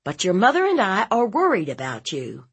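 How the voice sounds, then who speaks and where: A woman's voice: medium pitch, wildly swooping pitch, moderate pace, husky, voice pushed up, full character voice. A woman voicing a fierce, blunt, protective aunt in a story, scolding in an emphatic, folksy, interrogating way. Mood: exasperated, concerned.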